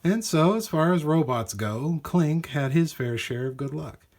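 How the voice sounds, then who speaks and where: A man reading a children's storybook aloud in an animated, expressive way with dramatic emphasis. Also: on the high side, measured pace. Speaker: storybook reader.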